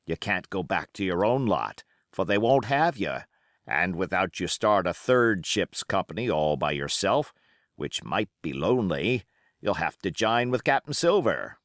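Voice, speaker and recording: A man's voice: low voice, very animated, a little slow, a bit bright, dry recording, clean studio sound, exaggerated voice. A man voicing a wily old pirate captain, genial yet menacing, in folksy sailor talk for an audiobook. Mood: sly, cajoling. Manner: folksy sailor dialect, cajoling, sly. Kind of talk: audiobook character acting.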